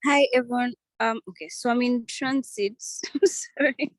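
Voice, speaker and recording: A woman's voice: on the high side, normal speed, dry recording, low-quality recording. Hesitant woman on a video call. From Mombasa, Kenya. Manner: hesitant and apologetic, many ums. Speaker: fellow introducing herself. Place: in transit. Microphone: video call on an unstable connection.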